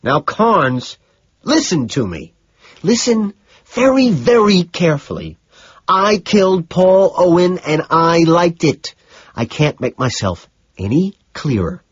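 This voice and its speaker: A man's voice: on the high side, wildly swooping pitch, moderate pace, creaky, husky, dry recording, lo-fi sound, pitched above the performer's own voice, exaggerated voice. A man playing an intense, unhinged businessman who speaks with strained, emphatic insistence. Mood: desperate, insistent.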